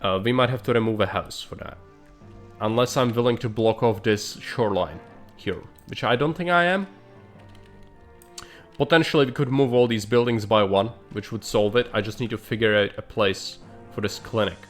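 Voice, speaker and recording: A man's voice: fairly low pitch, normal speed, faint music behind. A man streaming live, thinking aloud in dry, blunt remarks as he plans a city build. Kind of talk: live gameplay commentary.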